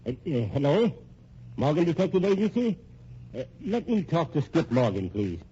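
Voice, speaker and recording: An actor playing a vengeful, folksy old rich American husband in a vintage radio drama, hesitant, rambling and slyly menacing. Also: male voice, on the high side, sing-song, moderate pace, mellow, breathy edge, exaggerated voice. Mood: falsely genial. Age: older. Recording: muffled, noisy old radio recording.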